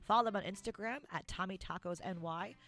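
A woman's voice: mid-range voice, a little fast, fairly bright tone. A woman hosting a podcast, talking in an enthusiastic, informal way. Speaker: podcast host. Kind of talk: upbeat interview chat with sponsor read.

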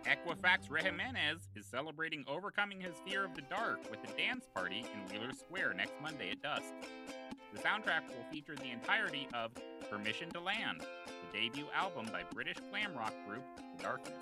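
A man's voice: a little high, sing-song, a little fast. A man playing a straight-faced small-town island announcer, reading absurd community news in an improvised comedy podcast. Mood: straight-faced, announcing. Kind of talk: scripted mock community announcements. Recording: loud guitar music behind voice.

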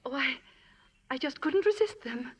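A woman's voice: high voice, animated, moderate pace. An actress playing a prim, strong-willed lady with proper, formal diction in a 1950s radio drama. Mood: tender, flustered. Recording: reverberant old radio recording with music.

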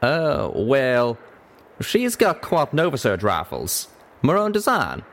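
A man's voice: medium pitch, huge pitch swings, takes it slowly, slight background noise, pitched above the performer's own voice, full character voice. A man voicing a young, plain-spoken pegasus cowboy and bashful ex-captain with a folksy Southern or Western American drawl, in an audio story. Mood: reluctant, plain-spoken. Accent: American South or West drawl.

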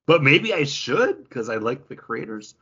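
Rambling animated man on a podcast. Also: mid-range voice, a little slow, breathy edge. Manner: rambling, animated, frequent "like" and restarts. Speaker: podcast co-host. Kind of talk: casual chat. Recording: dull lo-fi podcast audio.

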